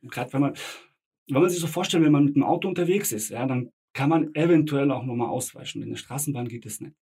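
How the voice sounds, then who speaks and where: A man's voice: mid-range voice, fast, darkish tone, husky. A middle-aged man with German parents from Bosnia, a tram driver, answering interview questions casually with hesitant fillers and short agreements.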